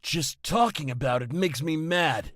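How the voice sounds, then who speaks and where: A resentful, brash pirate man from an anime game, pitched fairly high. His scornful speech leaps up and down with hot temper. The recording is very dry. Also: expressive, unhurried, a bit bright, dry recording. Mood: bitter. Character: hot-headed, resentful male tavern-going pirate. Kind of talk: English anime game voice acting.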